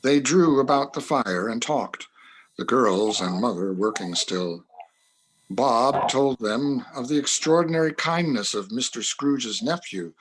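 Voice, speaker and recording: A man's voice: medium pitch, lively intonation, measured pace, slightly rough, low-quality recording. A man narrating a classic story as a community reader in a dramatic reading.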